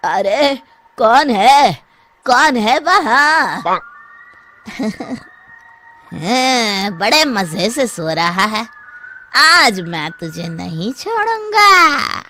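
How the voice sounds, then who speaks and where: A greedy, vengeful village trickster man, acted by a woman in a cartoon tale. The voice is very high and forceful, drawn out at a slow pace, with an exclamatory manner. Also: big pitch swings, slight background noise, heavily acted. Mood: menacing. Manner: taunting, exclamatory. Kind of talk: cartoon story acting.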